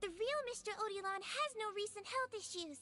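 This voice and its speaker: A woman voicing a small, cute, polite female nurse in a video game, gentle but shrewd, with childlike precision. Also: very high-pitched, lively intonation, fairly quick, heavily acted. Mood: calm, probing.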